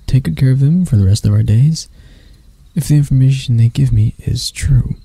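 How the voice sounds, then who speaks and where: A man playing a proud elf prince in a roleplay ASMR, speaking in a measured, haughty and intimate way to the listener. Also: medium pitch, vocal fry, dark tone, slightly rough, no echo, full character voice. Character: proud elf prince, stern captor softening into bashfulness.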